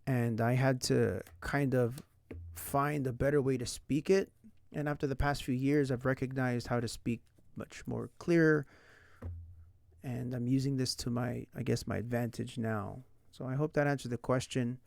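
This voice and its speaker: A young man streams late at night, talking through his thoughts in a loose, rambling way and dropping "like" and "right?" into most sentences. His voice has a gravelly, fried edge and a mid pitch. The sound is dry and close. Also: fairly even intonation, normal speed, darkish tone, no room sound. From San Diego, United States. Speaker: language learning streamer. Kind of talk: casual livestream chat. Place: indoors at night.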